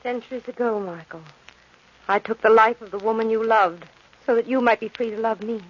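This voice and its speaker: An actress playing an imperious, centuries-old undead Egyptian princess with a haughty, mocking, deliberate delivery in radio horror style. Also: female voice, on the high side, lightly acted. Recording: dull, noisy old radio recording.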